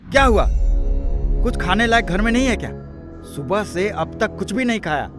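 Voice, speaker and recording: A man playing a hot-tempered, demanding young husband and city thug in an animated moral story. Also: high-pitched, moderate pace. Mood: angry, demanding. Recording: music bed under the voice.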